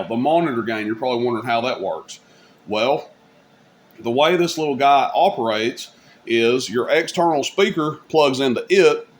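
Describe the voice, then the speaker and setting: A man with a mid-pitched voice talks informally through a hands-on product demo. His delivery is folksy and rambling, like a hobbyist hosting his own video. There is some noise behind him. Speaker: hobbyist video host demonstrating radio gear. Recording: clear background noise.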